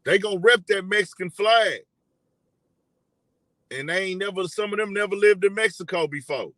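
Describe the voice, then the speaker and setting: A young man hosting a casual commentary stream, animated and repetitive, often asking the audience questions. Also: high voice, moderate pace, dry recording, studio quality. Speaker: commentary show host. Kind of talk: casual live commentary to viewers.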